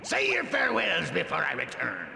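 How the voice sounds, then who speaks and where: A man voicing a blustering, menacing ghost pirate captain in a cartoon game, with a gravelly voice, a stage pirate accent and theatrical threats. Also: a little high, huge pitch swings, normal speed, full character voice. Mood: gloating, commanding. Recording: echoey hall reverb, music underneath.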